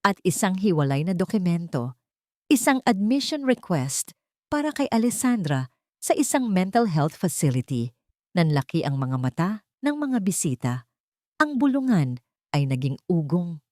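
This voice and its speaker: A woman reading a scripted dramatic story as narrator, in a measured, theatrical storytelling manner. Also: fairly low pitch, very animated, a little mellow, no echo, studio quality.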